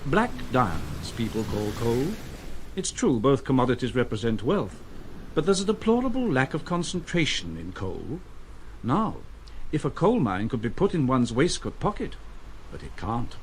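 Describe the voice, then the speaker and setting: An actor playing a wry, musing narrator in a literary audio drama, with deliberate phrasing. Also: male voice, medium pitch, huge pitch swings, a little hiss or hum.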